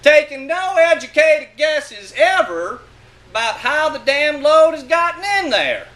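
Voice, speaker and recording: A man's voice: very high-pitched, sing-song, unhurried, sharp tone. A man giving a dramatic, sardonic and emphatic reading of a literary monologue. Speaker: reader of a short story.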